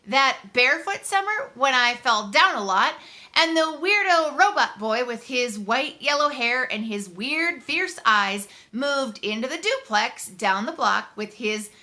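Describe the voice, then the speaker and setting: A woman reading a story aloud in the first person, conversational and expressive, leaning on key words for dramatic emphasis. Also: sits high, measured pace. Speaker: audiobook reader.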